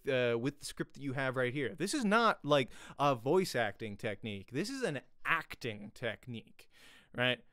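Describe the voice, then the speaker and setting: A man's voice: mid-range voice, fairly bright tone, clean tone, dry recording. A man in his thirties giving a casual, chatty acting lesson into a close studio microphone, repeating key questions for emphasis. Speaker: acting teacher and voice actor. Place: small home room, indoors. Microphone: close studio microphone with pop filter.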